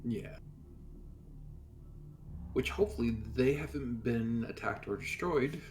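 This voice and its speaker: A man's voice: mid-range voice, slow, a little hiss or hum. A man playing a tabletop role-playing game, speaking in casual game-table conversation.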